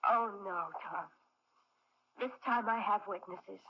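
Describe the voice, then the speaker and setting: An actress playing a proud, distraught wife in an old-time radio drama, insisting emphatically and indignantly. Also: female voice, mid-range voice, takes it slowly, pushed and bright, husky, exaggerated voice. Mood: insistent, upset. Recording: dull, telephone-like vintage radio recording.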